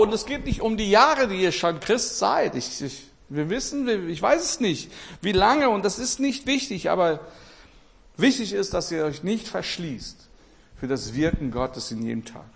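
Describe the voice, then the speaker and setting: A man from Portugal preaching a sermon with passion, repeating his phrases and swinging between loud and quiet. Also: on the high side, vocal fry.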